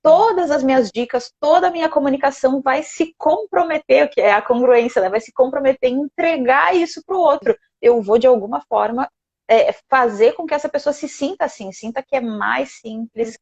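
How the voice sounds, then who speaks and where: A woman's voice: sits high, fairly quick, vocal fry, darkish tone, muffled recording. A woman who mentors others in communication, a guest on a live video call, explaining her method step by step and rephrasing her points as she goes. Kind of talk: explanatory conversation.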